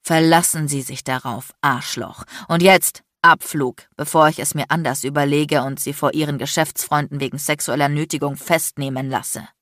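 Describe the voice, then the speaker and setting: A woman voicing an icy, furious, sarcastic man in an audiobook, hissing and shouting with biting, threatening bluntness. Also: low voice, fairly even intonation, normal speed, hardly any pauses, no echo, clean studio sound, pitched below the performer's own voice. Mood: icy fury, threatening. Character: enraged, icy, sarcastic, domineering adult man, a lawyer. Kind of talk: dramatic audiobook character acting.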